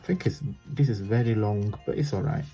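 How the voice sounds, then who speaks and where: A man's voice: fairly low pitch, very animated, fairly quick, vocal fry, mellow, soft background music. A man with an Italian accent, chatting casually while showing a crafting tutorial, rambling and correcting himself as he goes. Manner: chatty, rambling, self-correcting, laughs often. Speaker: craft vlogger.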